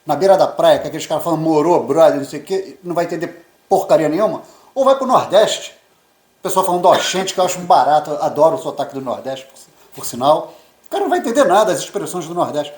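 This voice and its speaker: Brazilian man, 50s, rambling co-host, quick delivery, roomy echo. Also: a little high, expressive, a little mellow. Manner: rambling, repeats words, frequent "né". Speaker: co-host, immigrant journalist. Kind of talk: casual chat. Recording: echoey room.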